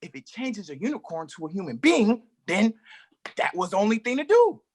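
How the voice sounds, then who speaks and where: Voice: mid-range voice, big pitch swings, fairly quick, darkish tone, slightly rough. A performer reading a male wandering magician in a play table read, stammering and theatrical. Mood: defiant. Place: live reading at an art exhibition.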